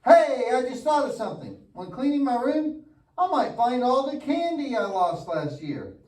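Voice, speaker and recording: A man's voice: very high voice, sing-song, normal speed, darkish tone. A man playing a goofy, lazy, mischievous young boy puppet in a church show, with an exaggerated, wisecracking delivery. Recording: echoey room, distant mic.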